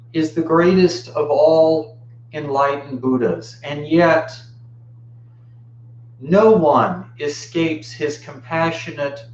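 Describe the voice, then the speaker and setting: An American man of fifty-nine giving an informal dharma talk in a reflective, halting way with long pauses. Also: on the high side. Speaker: Buddhist teacher giving a talk. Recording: muffled, roomy, faint background hum.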